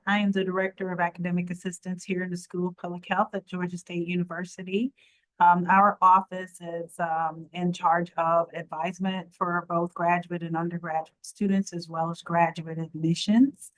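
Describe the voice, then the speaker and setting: A woman's voice: on the low side, level tone, moderate pace, low-quality recording. A woman staff director giving a brief self-introduction as a panelist on a video call. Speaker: academic assistance director, panelist.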